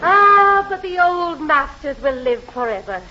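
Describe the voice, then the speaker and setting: An actress playing a fussy, disapproving highbrow aunt with a prim, theatrical manner in 1940s radio comedy. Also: female voice, expressive, unhurried. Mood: lofty. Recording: noisy, dull old radio recording.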